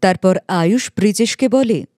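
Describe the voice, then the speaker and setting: A woman's voice: medium pitch, normal speed, darkish tone, no echo, clean studio sound. A woman reading a scripted children's moral story as its narrator.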